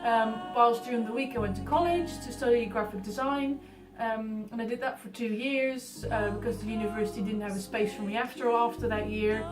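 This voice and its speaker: A woman's voice: fairly high pitch, a little fast. A woman of about thirty with a Dutch accent, talking informally and a little hesitantly about her own life and work as an artist. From Netherlands. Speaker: artist being profiled. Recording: roomy echo, distant mic, music behind.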